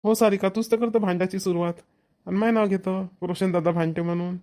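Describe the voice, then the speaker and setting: A performer plays a cartoon village boy who bickers and complains. The speech is very quick and mid-pitched, with a rural Vidarbha Marathi accent. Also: steady tone, dark tone, lightly acted. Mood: complaining. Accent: Maharashtrian, Vidarbha Marathi. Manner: complaining, argumentative. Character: whiny, argumentative boy, brother in village family. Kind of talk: cartoon family comedy dialogue.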